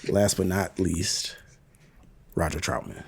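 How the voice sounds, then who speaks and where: A man's voice: sits low, fairly even intonation, slow, vocal fry, breathy edge. A man co-hosting a podcast, making casual show announcements. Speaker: podcast co-host.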